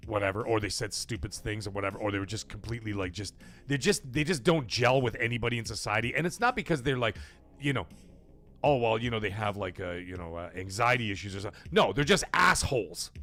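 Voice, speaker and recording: A man's voice: on the low side, animated, fast talker, a bit bright. A middle-aged man hosting a gaming livestream, talking casually and rambling, swearing freely as he goes.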